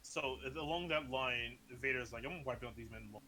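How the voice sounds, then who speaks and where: A man's voice: medium pitch, fast talker, sharp tone. A man co-hosting a casual podcast, speaking hesitantly with many fillers. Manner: hesitant, lots of fillers and likes. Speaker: podcast co-host. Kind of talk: casual chat.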